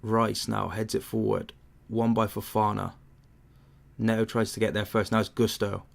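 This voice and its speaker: A man's voice: fairly low pitch, little pitch movement, normal speed, vocal fry. A young man hosting a football watchalong, commentating casually and chatting with viewers, rambling with fillers. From England. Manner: chatty, rambling with fillers, answers chat questions. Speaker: watchalong host.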